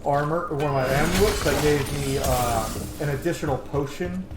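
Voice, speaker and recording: A man's voice: a little high, normal speed. A man doing casual, rambling, sweary let's play commentary as he streams a video game. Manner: rambling, sweary, self-joking. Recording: echoey room with clear background noise.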